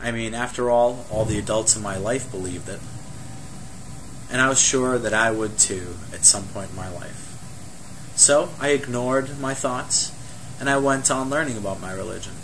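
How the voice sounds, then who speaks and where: Earnest Egyptian-born man, measured testimony. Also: mid-range voice, a bit bright, a little hiss or hum, low-quality recording. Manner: earnest, measured, with rhetorical questions. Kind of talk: personal testimony monologue.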